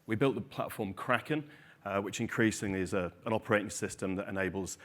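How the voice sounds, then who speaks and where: Man, founder, panelist, low pitch, measured, hesitant. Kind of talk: panel introduction.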